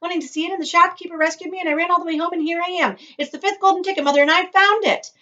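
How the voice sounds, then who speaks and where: A woman voicing an excited young boy, high and breathless. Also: rapid-fire, dull audio. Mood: thrilled.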